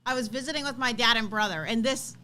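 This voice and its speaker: A chatty, animated woman co-hosting a live stream, bantering casually and teasingly with viewers. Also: a little high, quick pace.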